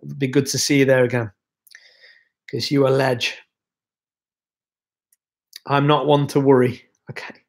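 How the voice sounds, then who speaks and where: A man's voice: mid-range voice, measured pace, pauses often. A young man hosting a casual livestream, chatting loosely and reading out viewer comments, his voice a bit worn from being ill. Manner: relaxed, rambling, chatty with many fillers. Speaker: livestream host.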